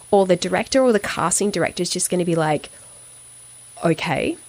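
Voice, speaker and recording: An Australian woman telling a story in casual conversation, acting out the quoted dialogue as she goes. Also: fairly low pitch. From Sydney, Australia. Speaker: actor and voice-over artist.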